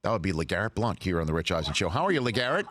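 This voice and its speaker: A man's voice: sits low, sing-song, very fast, very noisy. A man hosting a sports talk show, asking eager questions and often repeating words as he starts them. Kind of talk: radio interview.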